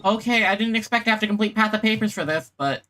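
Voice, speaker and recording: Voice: mid-range voice, quick pace, sharp tone, slight background noise. A game streamer giving reactive live commentary, muttering to themself and reading dialogue aloud.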